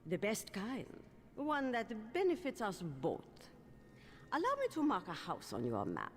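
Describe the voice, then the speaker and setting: An actress voicing a shady, vain, self-assured underworld information broker in a video game, with a smooth-talking manner. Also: female voice, mid-range voice, huge pitch swings, measured pace, in a large hall, exaggerated voice. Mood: scheming, persuasive. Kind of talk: video game character dialogue.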